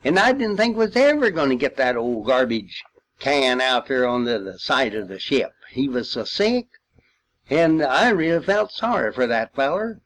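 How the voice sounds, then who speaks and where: An older man from Missouri with a rural Missouri accent, reminiscing as a war veteran in a folksy, halting, rambling way. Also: medium pitch, very animated, a bit bright, low-quality recording. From Missouri, United States. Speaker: World War II veteran storyteller.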